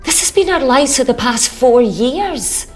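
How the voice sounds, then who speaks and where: Slow-speaking, ruthless woman boss. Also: sits high, animated, faint music behind. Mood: cold, pressing. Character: cold, ruthless, calculating female boss. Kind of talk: naturalistic film drama acting.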